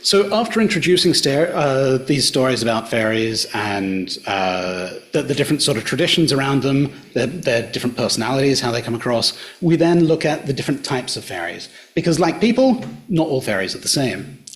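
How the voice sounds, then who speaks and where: A middle-aged man with an Australian accent, giving a public talk in a hotel function room and retelling local folklore in a measured storyteller's way. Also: mid-range voice, very animated. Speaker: local history presenter.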